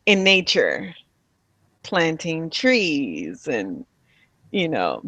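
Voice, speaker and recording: A woman from Miami being interviewed about her childhood, speaking softly and thoughtfully with pauses and light laughs. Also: on the low side, no room sound. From Miami, USA. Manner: soft-spoken, thoughtful, with pauses and fillers. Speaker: interviewee, spiritual healer. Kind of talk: reflective personal interview.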